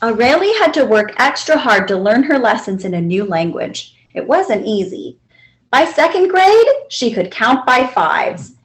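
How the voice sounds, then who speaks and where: A woman reads a picture book to children. Her voice is high and very varied in pitch, and she tells the story deliberately and expressively. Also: very animated, rough recording. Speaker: librarian reading aloud.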